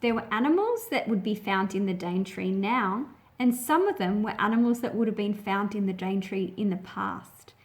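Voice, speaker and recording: A woman's voice: mid-range voice, moderate pace, few pauses. A woman reading and explaining a picture book aloud for young listeners. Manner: addresses young listeners with questions. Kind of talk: children's story read-aloud and explanation.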